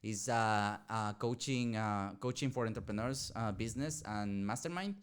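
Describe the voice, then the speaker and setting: Hesitant, smooth-voiced lower man. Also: moderate pace. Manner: hesitant, many fillers and restarts. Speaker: guest, art director. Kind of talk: casual podcast chat.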